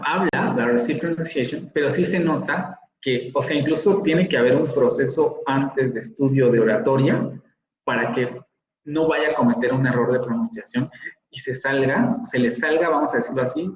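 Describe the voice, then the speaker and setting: A man's voice: mid-range voice, a little hiss or hum. Mexican guy teaching an online class, keeps asking "ok?", roomy and kinda muffled. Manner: explanatory, checks in with students. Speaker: university English teacher. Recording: roomy, dull online call audio.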